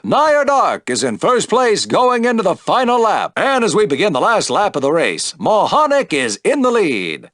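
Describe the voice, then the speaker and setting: High, swooping male race announcer. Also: fairly bright tone, no echo, studio quality, full character voice. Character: excitable male podracing announcer calling races. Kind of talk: sports commentary.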